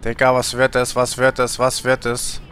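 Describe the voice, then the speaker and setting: A man's voice: medium pitch, completely flat delivery, moderate pace, a bit bright, a little hiss or hum. A middle-aged man chatting casually and musingly on a gaming livestream from home, in colloquial speech. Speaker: game livestreamer. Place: indoor home streaming setup.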